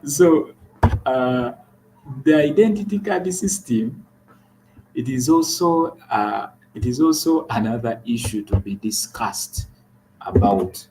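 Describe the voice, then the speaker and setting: A man's voice: mid-range voice, lively intonation, takes it slowly, darkish tone, slight background noise, poor audio. A man from Uganda co-hosting a talk show, giving earnest political commentary and often repeating words as he restarts.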